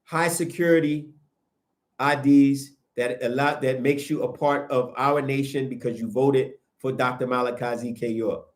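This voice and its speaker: A man hosting a livestream, preaching in a casual, animated way and answering viewer comments as he goes, with a sniffly nose. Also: mid-range voice, moderate pace. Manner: animated, preachy, rises sharply when emphatic. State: sniffling from sinuses. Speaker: livestream host.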